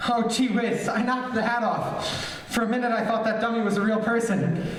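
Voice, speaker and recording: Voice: medium pitch, brisk, close to an everyday voice. A performer playing an earnest, hapless teenage boy, polite, easily flustered and full of gee-whiz exclamations, in old-time radio comedy style. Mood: chatty. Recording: distant, very echoey room with hiss.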